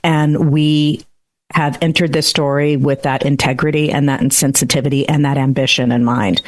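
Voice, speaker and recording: A middle-aged woman who hosts a true-crime podcast, answering interview questions earnestly and laughing easily. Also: very deep voice.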